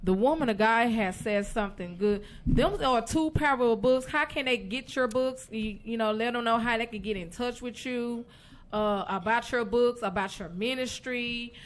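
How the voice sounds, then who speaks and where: A woman's voice: fairly high pitch, normal speed. A woman hosting a radio show, interviewing a guest and cheering her on with constant affirmations. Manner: encouraging, constant uh-huhs and amens. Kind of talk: interview chat.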